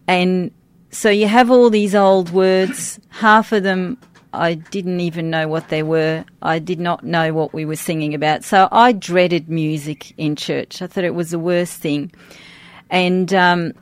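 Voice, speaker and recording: Lowish-voiced woman, non-native English speaker. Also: fairly even intonation, unhurried, no room sound. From grew up outside Australia. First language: a language other than English. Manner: informal, hesitant, thinking aloud. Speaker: interview guest, church worship team member. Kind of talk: conversational interview.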